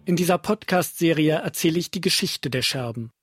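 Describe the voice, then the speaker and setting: A man's voice: fairly high pitch, animated, a little fast. A man hosting a podcast, reading scripted first-person documentary narration. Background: guitar music.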